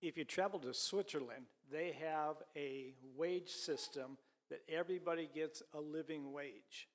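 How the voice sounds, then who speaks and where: A man's voice: on the high side, measured pace, long reverb tail. A man making an informal explanatory comment in a group discussion. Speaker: church class participant.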